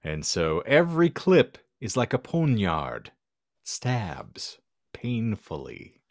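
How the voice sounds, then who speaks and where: A man's voice: lowish voice, wildly swooping pitch, takes it slowly, dry recording, clean studio sound. A man co-hosting a podcast, bantering in a wordy, mock-formal, sardonic way. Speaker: podcast co-host. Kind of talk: casual comedic banter.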